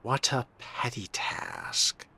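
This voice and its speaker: Very deep, slow, gravelly man. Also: wildly swooping pitch, bright, forceful tone. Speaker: player narrating a role-playing playthrough. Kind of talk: in-character scripted-style gameplay narration.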